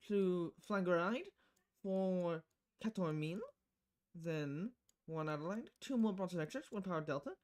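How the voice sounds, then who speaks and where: A man giving casual gameplay commentary as a streamer. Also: sits high. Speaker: game streamer.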